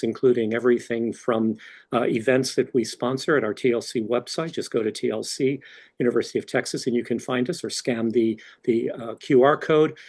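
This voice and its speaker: A man who directs a university language center speaks earnestly to panel attendees. His voice has a husky edge, and he often restarts phrases as he goes. The sound is plain video-call audio. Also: medium pitch, a little mellow. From Mexican background. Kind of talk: welcome remarks at a panel.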